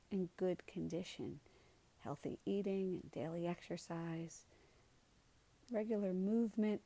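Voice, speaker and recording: A woman leading a guided meditation in a soothing, unhurried way, with soft, gentle delivery and pauses. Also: on the low side, gravelly.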